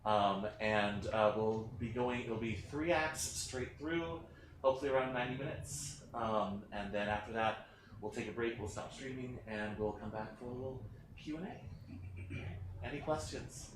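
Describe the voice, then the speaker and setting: A man informally introducing a play reading as theater host, halting and full of fillers. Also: mid-range voice. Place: indoor theater space, in person. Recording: echoey room with loud background noise.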